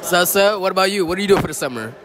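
A teenage boy vlogging loud, joking, slangy interviews with classmates on a phone camera in school hallways. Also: sits high, animated, rapid-fire. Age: teens. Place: school hallways and walkways, indoors and out. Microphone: handheld phone camera. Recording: noisy crowd of students behind.

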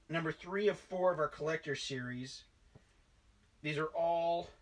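A man's voice: a little high, fairly bright tone. A man in his forties rambles chattily through a tub of old collectibles in his basement, often correcting himself. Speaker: toy collector vlogger. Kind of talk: casual unboxing chat. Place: basement toy room, indoors.

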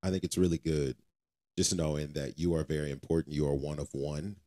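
A man's voice: extremely low pitch, level tone, a little fast, dark tone. A man co-hosting a faith podcast, talking casually in an earnest, exhorting way and repeating phrases for emphasis. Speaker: podcast co-host.

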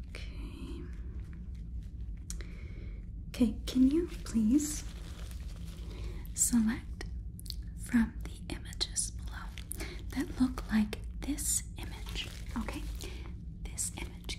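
Casting: young woman to play a gently patronizing female robot examiner for ASMR role-play, unhurried whisper, slightly high pitch. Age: 20s. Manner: slow, soft, instructing, gently patronizing. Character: polite, condescending female AI robot overlord examining a human. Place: small indoor set, close up. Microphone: close microphone.

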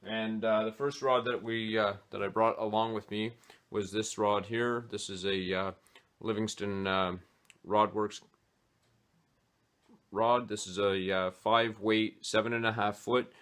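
Casting: man in his forties, lowish voice, relaxed, unscripted talk full of "uh" pauses, recorded close on a webcam in a small home office. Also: clear, resonant tone. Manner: hesitant, many "uh" fillers. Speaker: fly fishing vlogger. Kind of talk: casual gear talk to camera.

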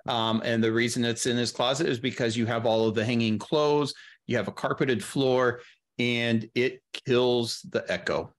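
A middle-aged man with an average-pitched voice talks through a process in an interview. He is thoughtful and halting, building his explanation step by step, and sits close to a podcast microphone. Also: fairly even intonation. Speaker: guest, audio drama editor and sound designer. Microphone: close podcast mic on a video call.

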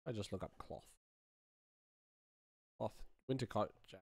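Low, gravelly man muttering while working. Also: a little slow, no room sound, clean studio sound. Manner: muttering, fragmented phrases while concentrating. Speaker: sound designer demonstrating his work. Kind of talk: casual work commentary, half talking to himself. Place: small home room, indoors.

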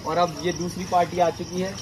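Young man, high-pitched, joking vlog host, laughs often, noisy outdoor recording. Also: level tone, brisk. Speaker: vlogger. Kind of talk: casual vlog chat. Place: outdoor pool party at night.

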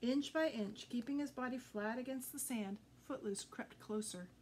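A middle-aged woman storyteller with a fairly high voice. She reads a children's book expressively and deliberately, recorded indoors with noticeable background noise. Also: a little mellow. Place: indoor room with green curtain backdrop.

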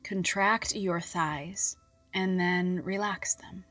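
A woman narrates a guided relaxation at a very slow pace, in a soft and slightly low voice. Her delivery is soothing and measured, turning breathy at the ends of phrases. Music plays behind her. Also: steady tone. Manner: soothing, measured, with long pauses. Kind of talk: scripted hypnobirthing relaxation guidance.

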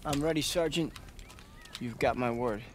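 An actor playing a cocky, wisecracking young American private in a World War II video game. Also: male voice, medium pitch, moderate pace, slight background noise, lightly acted. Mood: cocky, assured. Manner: wisecracking, brash. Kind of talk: cinematic video game acting.

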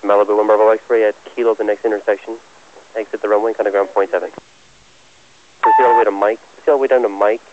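A man's voice: fairly low pitch, level tone, fast, a little mellow. A man working as an air traffic tower controller, speaking in clipped procedural phrases over aviation radio. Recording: muffled, lo-fi airport radio transmission.

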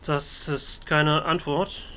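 Man, bright forceful voice, slightly high, hesitant role-played game dialogue, faint background noise. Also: level tone, slow pace. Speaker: let's player role-playing his game hero.

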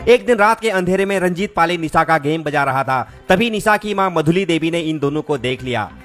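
A man's voice: on the high side, a bit bright. A man reading a scripted true-crime story, addressing listeners directly as a storyteller. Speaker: narrator of a crime story channel. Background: music behind the voice.

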